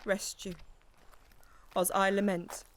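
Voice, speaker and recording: A woman's voice: mid-range voice, little pitch movement, slow pace, slight background noise, close to an everyday voice. A woman playing a grieving, defiant noble widow in a Shakespearean audio drama, with declamatory, emotional delivery. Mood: mournful.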